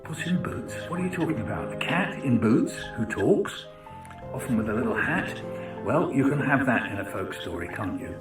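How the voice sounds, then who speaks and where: A man's voice: medium pitch, animated, moderate pace. Man giving a chatty talk, roomy sound. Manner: conversational, chatty, questioning. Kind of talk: informal educational talk with rhetorical questions. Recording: roomy, distant mic with music.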